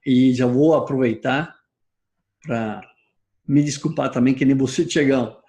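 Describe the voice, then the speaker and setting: Mellow-voiced rambling man. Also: mid-range voice, measured pace, highs cut off. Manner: rambling, says he is out of practice with the language. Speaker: gym owner, trainer. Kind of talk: casual discussion.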